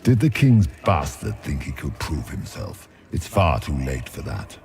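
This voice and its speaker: Very deep, menacing demon. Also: male voice, very animated, darkish tone, soft background music, heavily acted. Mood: mocking. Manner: taunting, grandiose, deliberate. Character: vast male fear demon, menacing, mocking, ancient, commanding. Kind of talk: dramatic video game villain acting.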